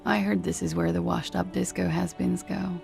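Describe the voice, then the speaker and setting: An actress playing a world-weary, evasive woman in a detective video game, dry, laconic and sardonic, with a very creaky voice. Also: on the low side, little pitch movement, fairly quick, darkish tone, faint music behind.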